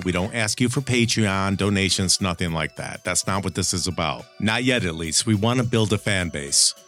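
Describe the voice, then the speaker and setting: A man's voice: on the low side, a bit bright. A man hosting a podcast in casual chat, with a wry, blunt manner. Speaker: podcast host. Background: background music.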